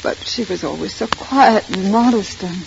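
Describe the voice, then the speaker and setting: Woman playing a wounded, creaky-voiced betrayed wife. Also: medium pitch, close to an everyday voice. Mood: hurt, halting. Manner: halting. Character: hesitant, wounded wife betrayed by her husband. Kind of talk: 1940s radio drama acting. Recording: crackly, muffled 1940s radio recording.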